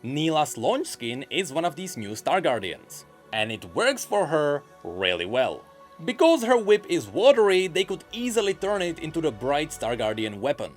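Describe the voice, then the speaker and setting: A man's voice: a little high, big pitch swings, moderate pace. A man hosting a scripted gaming news show, narrating with wry, dryly sarcastic asides. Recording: music behind the voice. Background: background music.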